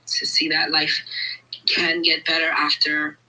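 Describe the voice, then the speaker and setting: A woman from Brooklyn, calling in to share her personal testimony, eager and halting. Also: low voice, monotone, pushed and bright, rough recording. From Brooklyn, New York. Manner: eager, halting, many fillers. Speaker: guest caller.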